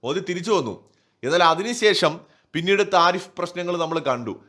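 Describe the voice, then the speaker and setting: High-pitched man, emphatic commentator. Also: lively intonation, moderate pace, pushed and bright. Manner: emphatic, rhetorical, asking and answering own questions. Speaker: online news commentator. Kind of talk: opinionated political commentary.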